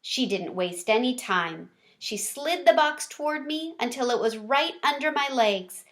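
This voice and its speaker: A woman narrates a children's story in a somewhat high voice. She reads expressively, leaning on key words as a read-aloud storyteller does. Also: animated, unhurried, a bit bright.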